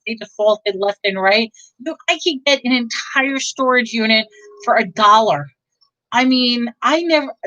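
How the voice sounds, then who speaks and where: A woman's voice: on the high side, fairly bright tone, no room sound, dull audio. A middle-aged woman with a New York accent, chatting with viewers on a livestream, rambling and correcting herself. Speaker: reseller livestream host. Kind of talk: casual live chat with viewers.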